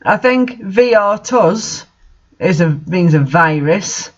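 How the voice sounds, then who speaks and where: A man's voice: high-pitched, animated, slow, lo-fi sound. A man doing a mocking read-aloud of bad fan fiction, with incredulous, sarcastic asides in casual commentary.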